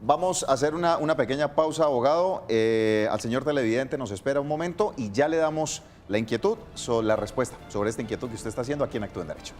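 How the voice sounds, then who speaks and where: A man's voice: medium pitch, darkish tone, soft background music. A man hosting a legal TV call-in show, courteous and steering the talk. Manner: brisk, courteous, steers the conversation. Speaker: TV show host. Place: TV studio.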